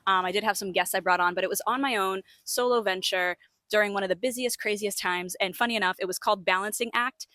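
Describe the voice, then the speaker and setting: A woman's voice: medium pitch, fast, sharp tone, no echo. A woman co-hosting a podcast, talking openly and earnestly in a chatty way with plenty of fillers. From United States. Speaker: podcast co-host. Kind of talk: casual chat.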